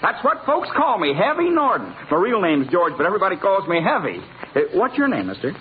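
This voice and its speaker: High-voiced, talkative Old West blacksmith. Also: male voice, wildly swooping pitch, fairly quick. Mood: pleased, boastful. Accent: American. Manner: folksy, garrulous, rustic Western drawl and grammar. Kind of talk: 1950s radio western acting. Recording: tinny, noisy 1950s radio recording.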